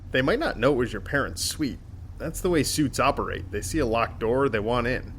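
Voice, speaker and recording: A man's voice: medium pitch, animated, a little fast, fairly bright tone, slight background noise, close to an everyday voice. A man playing an easygoing, placating but firm guard in a sci-fi audio drama, talking in a casual, reassuring way.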